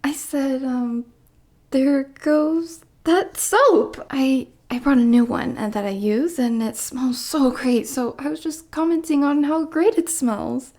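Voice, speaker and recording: A woman's voice: sits high, slow pace, mellow. A woman playing a dorky, lovestruck bodyguard in an ASMR roleplay, bashful and stammering, muttering asides as she clumsily hides her obsession. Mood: flustered, stammering.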